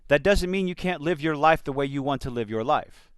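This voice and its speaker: A man's voice: on the high side, lively intonation, brisk, clean tone, no room sound, clean studio sound. A man giving opinionated commentary in a blunt, sardonic and emphatic manner. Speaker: commentator reacting to entertainment news. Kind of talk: opinionated commentary with quotes read out.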